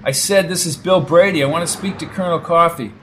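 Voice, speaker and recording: A man voicing a brash, impatient, outraged man in an audio story, with a gruff, demanding manner. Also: high voice, lively intonation, moderate pace, a bit bright, a little hiss or hum, pitched above the performer's own voice. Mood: impatient, urgent.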